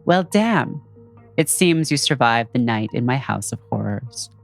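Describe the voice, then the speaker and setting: Woman playing a very deep, slow, gloating villainess. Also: expressive, creaky, soft background music, exaggerated voice. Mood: gloating menace. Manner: slow, mocking, self-assured. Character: gleefully evil, taunting villainess, demented and menacing.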